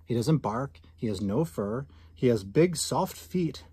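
A man's voice: medium pitch, lively intonation, a little slow, mellow, slightly rough, dry recording. A man voicing an eager, lonely little boy pleading for a pet in a storybook. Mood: earnest boasting. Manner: pleading, earnest.

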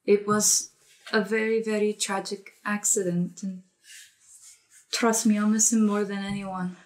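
A woman playing a loyal, grieving adventurer in a tabletop game, earnest, halting and consoling. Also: medium pitch, level tone, close to an everyday voice, rough recording. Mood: sad, consoling. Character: consoling, loyal adventurer mourning a best friend.

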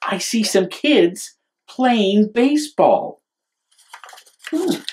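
Picture book role: wide-eyed young American boy, earnest and expressive, taking his time read by a man. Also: sits high, drawn-out words, a little hiss or hum, voice pushed up, heavily acted. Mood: excited wonder.

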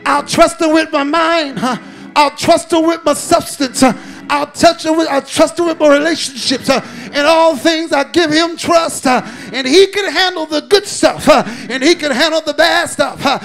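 A man preaching a fervent, half-chanted sermon in a gravelly voice, repeating phrases rhythmically and punctuating them with "uh". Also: very high voice, normal speed, a bit bright. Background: music behind the preaching.

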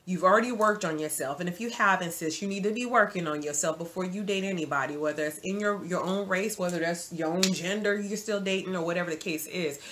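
A woman in her forties giving casual dating advice to viewers from her home, chatty and animated, calling the viewer sis. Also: lowish voice, fast, few pauses, a little hiss or hum. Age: 40s. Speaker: vlogger. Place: home room, indoors.

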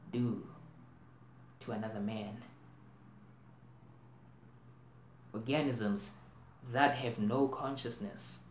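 A man playing an anxious, intense worrier in a dramatic stage monologue, in deliberate broken phrases with emphatic swells. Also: lowish voice. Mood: brooding, ominous. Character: anxious, intense man brooding on global plagues. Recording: roomy echo, faint background noise.

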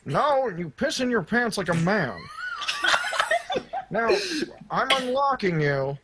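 A woman's voice: medium pitch, big pitch swings, slow. A woman voicing a blunt, menacing government agent in an improvised, giggly cartoon parody. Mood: bossy. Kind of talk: improvised comic cartoon fandub. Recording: loud background noise, clicks.

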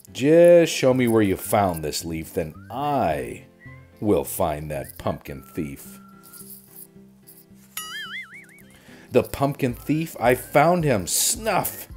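A man's voice: lowish voice, very animated, slow, a little mellow, faint music behind. A man voicing an overconfident papa bear detective from a storybook, boastful and emphatic. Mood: bold, triumphant.

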